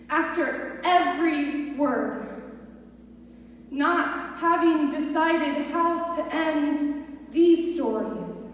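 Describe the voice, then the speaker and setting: A young woman performs slam poetry in a high, slow voice. Her delivery is emotional and deliberate, with dramatic pauses, heard from a distance in a reverberant hall. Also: fairly bright tone. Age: young adult. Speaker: slam poet. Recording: echoey hall from a distance, faint noise.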